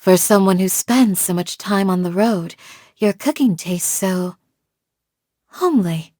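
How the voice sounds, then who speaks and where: A woman playing a calm, devoted village guardian in a video game, speaking in a measured, formal, gently solemn way. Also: mid-range voice, no room sound, clean studio sound, natural voice. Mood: gentle, warm. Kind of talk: scripted game character voice lines.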